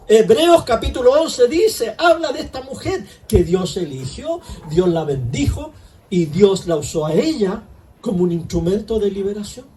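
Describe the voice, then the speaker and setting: A man's voice: sits high, a little mellow, distant mic, slight background noise, low-quality recording. A man preaching a conversational sermon in church, full of rhetorical questions. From Chile. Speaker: pastor.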